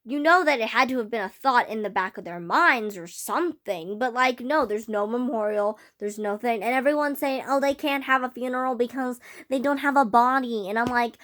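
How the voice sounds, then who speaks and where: A teenage girl ranting casually about a film to camera, rambling with many fillers, her voice slightly hoarse. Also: a little high, normal speed, few pauses, fairly bright tone. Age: teens. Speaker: vlogger. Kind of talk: casual fan rant to camera.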